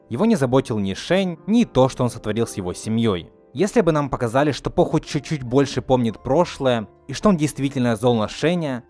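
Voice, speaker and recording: A young man delivers an opinionated video essay with lively, swooping intonation. He argues his points emphatically, at a middle pitch, with light music underneath. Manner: argumentative, emphatic. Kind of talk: opinionated film critique narration. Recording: music behind the voice. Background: faint background music.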